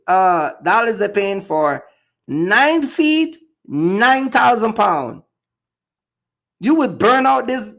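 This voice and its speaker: A man's voice: high voice, gravelly, fairly bright tone. A man from Jamaica, a box truck driver chatting loosely on a livestream with many fillers and repeated words. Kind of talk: casual livestream chat.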